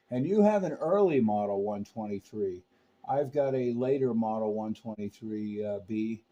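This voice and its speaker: A man's voice: mid-range voice, warm tone. A man talking casually about technical repair work as a hands-on host, in a folksy, chatty manner. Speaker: radio repairer and video host. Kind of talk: casual technical talk and product commentary.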